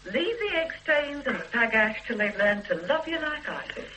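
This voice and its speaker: A woman's voice: high-pitched, lively intonation, normal speed, sharp tone, low-quality recording. An actress playing a fond mother who teases and coaxes her hungover grown son, in a radio drama. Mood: teasing. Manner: teasing and coaxing. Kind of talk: 1970s radio drama acting. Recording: old radio recording with faint hiss.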